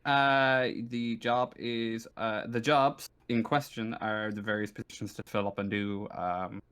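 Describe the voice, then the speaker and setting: Halting, low-voiced male game master. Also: level tone, a bit bright, smooth. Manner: halting, searching for words. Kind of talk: improvised narration of a tabletop game.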